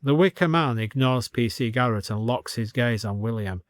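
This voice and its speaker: A man reading a scripted story as an expressive storyteller with dry comic delivery. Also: medium pitch, normal speed, no echo.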